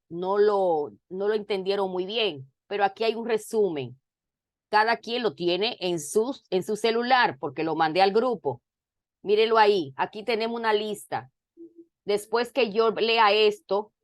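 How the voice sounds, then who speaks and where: A woman teaches a class over a video call, taking her time and going over each example more than once. Her voice sits at an ordinary middle pitch. The sound is dry and close, with no room echo. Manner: slow, repeats words and examples for emphasis. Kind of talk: online class explanation.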